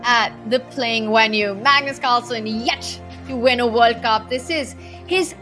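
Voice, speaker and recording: A woman with an Indian accent commentating live chess in a lively, good-humoured way. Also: a little high, unhurried, soft background music.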